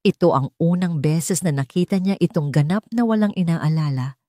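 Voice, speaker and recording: Woman, Filipino accent, audiobook narrator, dramatic romance storytelling, lowish pitch, dry close sound. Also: normal speed, warm tone, no room sound.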